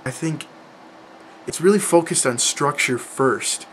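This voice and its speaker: A man's voice: fairly high pitch, animated, unhurried, a little hiss or hum. A young man chatting casually to camera about learning languages, rambling, with lots of fillers and restarts. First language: English.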